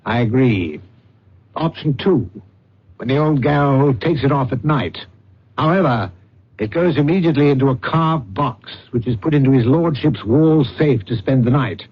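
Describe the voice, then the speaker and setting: A man's voice: medium pitch, animated, normal speed, natural voice. Pompous, suave con-man played by a man, muffled. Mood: scheming. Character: suave, pompous male con-man posing as psychic detective. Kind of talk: old-time radio drama acting. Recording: muffled, hissy old radio recording.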